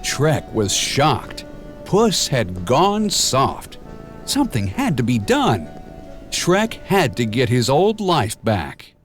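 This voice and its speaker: A man narrates a children's read-along storybook at an unhurried pace. His pitch moves in lively swings as he tells the tale in short, clearly phrased sentences. Music plays behind his voice. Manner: measured, expressive storytelling with clear simple phrasing.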